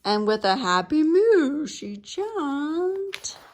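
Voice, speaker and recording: A woman reads a children's picture book aloud. Her voice sits a little high, and she delivers the lines expressively, as a storyteller for young listeners. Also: big pitch swings, very slow.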